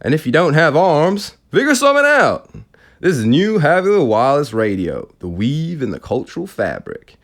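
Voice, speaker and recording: A relentlessly cheerful American radio presenter, pitched high and showy. He delivers his storybook broadcast with theatrical flourish and unflagging good humour. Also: wildly swooping pitch, normal speed, fairly bright tone, full character voice. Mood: jovial, exuberant.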